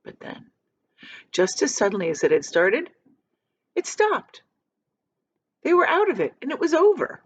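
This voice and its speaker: A woman reading a children's book aloud like a teacher, expressive and dramatic, with emphatic pauses. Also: sits high, breathy edge, dull audio. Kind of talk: expressive storybook read-aloud.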